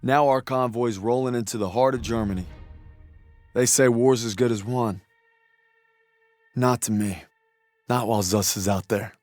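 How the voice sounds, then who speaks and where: An actor playing a young soldier from Texas, narrating in a quiet, reflective way over a game cutscene. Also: male voice, medium pitch, steady tone, normal speed, pauses often, dry recording, faint music behind, natural voice. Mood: somber. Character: young male American infantry soldier, haunted, loyal. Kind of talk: video game cutscene acting. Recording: game score under voice.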